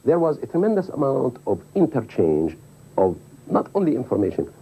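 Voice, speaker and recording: A man's voice: medium pitch, wildly swooping pitch, fairly quick, gravelly, dark tone, slightly rough, poor audio. A mature man from Palestine, recalling his life emphatically in an interview. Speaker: documentary interviewee. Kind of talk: personal recollection in interview.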